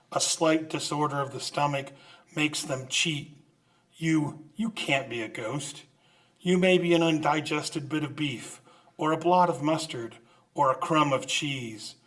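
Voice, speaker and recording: A man's voice: on the high side, a bit bright. A man playing a crotchety, stubborn old miser who stammers and exclaims in an old-time radio drama. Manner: halting, exclamatory, stammering in fright.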